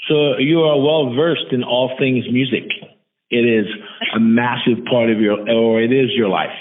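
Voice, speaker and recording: A man with a mid-range voice interviews a guest casually. His delivery is halting and laid-back, and the sound is tinny and narrow, like a phone call. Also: mid-range voice, steady tone. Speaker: radio interview host. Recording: thin phone-like audio.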